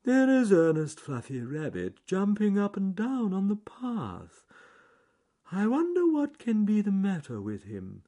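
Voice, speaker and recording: Casting: male storybook tortoise, raised pitch, plodding and deliberate, careful with every word. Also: huge pitch swings, dark tone, exaggerated voice. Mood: curious. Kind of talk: children's storybook character voice.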